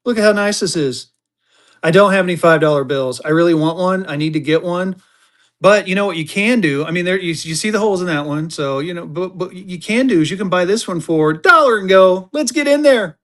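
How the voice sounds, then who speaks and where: A man selling paper money on a livestream auction, chatting casually and breaking into excited hype shouts. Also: high-pitched, fairly quick. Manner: excitable hype bursts, chatty, drawling asides. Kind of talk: casual live-selling banter.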